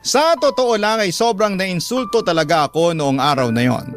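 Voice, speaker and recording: A man's voice: sits high, animated, moderate pace. A man playing a wounded, lovelorn man narrating his heartache for a radio drama, confessional and aggrieved, with a Filipino accent. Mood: hurt. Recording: music clearly under the voice.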